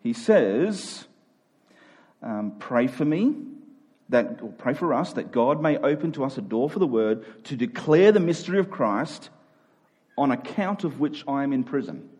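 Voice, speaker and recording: A man's voice: medium pitch, lively intonation, measured pace, darkish tone, slightly rough. A man preaching a sermon, repeating key phrases for emphasis and checking in with his listeners.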